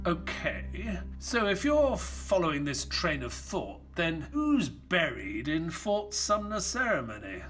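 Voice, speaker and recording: An actor playing a polite, amiable doctor, a detective's loyal sidekick, courteous and earnest in an audio play. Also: male voice, fairly high pitch, big pitch swings, slow, fairly bright tone, faint music behind, heavily acted. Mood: puzzled, earnest. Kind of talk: audio play acting and narration.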